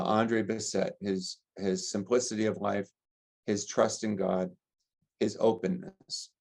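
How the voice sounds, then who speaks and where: Earnest American priest, low voice. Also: male voice, creaky. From United States. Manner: earnest, gentle, lists ideas in long chains. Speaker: priest and rector, interview guest. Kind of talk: reflective interview answers.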